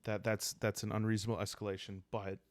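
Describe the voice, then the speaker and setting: A man speaking softly and calmly, improvising a story for a solo roleplaying game and thinking aloud hesitantly. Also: lowish voice, dry recording. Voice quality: soft, calm. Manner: hesitant, thinking aloud with long pauses and sighs. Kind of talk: calm unscripted storytelling for sleep, journaling game playthrough.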